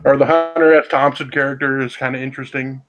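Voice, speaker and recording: A man co-hosting a casual podcast, excitable and chatty, calling everyone dude. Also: mid-range voice, fast, rough recording. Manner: excitable, says "dude" a lot. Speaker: podcast co-host. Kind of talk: casual chat.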